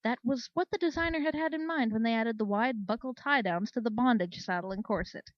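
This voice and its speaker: A woman's voice: fairly high pitch, a little fast, no room sound. Woman reading a story, dry muffled recording. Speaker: story reader. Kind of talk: expressive audiobook-style narration of fan fiction.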